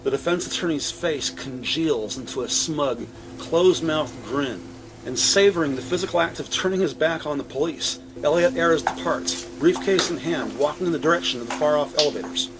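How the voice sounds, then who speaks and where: A man narrating a crime audio drama with scripted, descriptive storytelling in a deliberate, literary manner. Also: on the high side, background noise.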